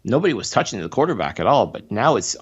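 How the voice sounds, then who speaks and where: Male sports podcaster, low register, casual and opinionated commentary. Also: sing-song, fast, husky, highs cut off.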